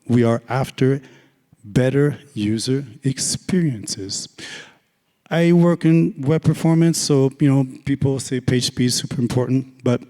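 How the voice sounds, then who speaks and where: A hoarse man with a raspy voice giving a casual, joking conference talk, full of fillers. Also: medium pitch, mellow. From Canada. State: hoarse, lost voice. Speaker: conference speaker. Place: large conference hall. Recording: long hall reverb.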